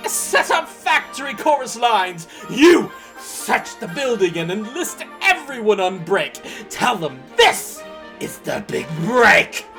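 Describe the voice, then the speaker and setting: A woman's voice: fairly high pitch, big pitch swings, slow, a bit bright, soft background music, exaggerated voice. A woman playing a melodramatic, self-important choreographer with a theatrical, grand delivery in a comic audio drama. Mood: rousing, showy. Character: melodramatic, flamboyant, self-important showbiz choreographer named Milford. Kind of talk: scripted audio comedy drama acting.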